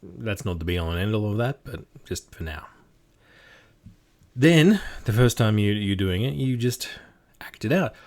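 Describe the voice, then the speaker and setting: A man's voice: lowish voice, expressive, a little slow, a little mellow. A man giving a casual tutorial on how to perform characters as a game master. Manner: demonstrative, switches between explanation and voices. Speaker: game master teaching technique.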